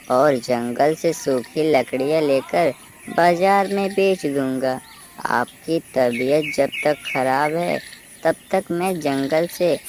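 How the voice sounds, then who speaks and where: A devoted young crow son from a children's cartoon, voiced by a woman. The voice is low and slow, earnest and reassuring. Faint background noise sits underneath. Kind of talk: Hindi children's cartoon story dialogue.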